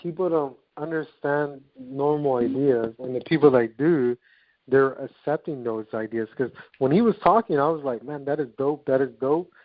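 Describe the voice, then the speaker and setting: A man's voice: medium pitch, normal speed. A man chatting casually and enthusiastically in informal slang as a participant on a group call. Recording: tinny narrow phone line.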